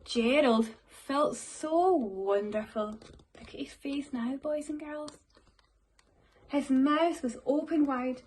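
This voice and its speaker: Slow, high-pitched Scottish woman reading aloud. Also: slight background noise. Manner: expressive, addressing young listeners. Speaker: primary school teacher. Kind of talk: storybook read-aloud for children.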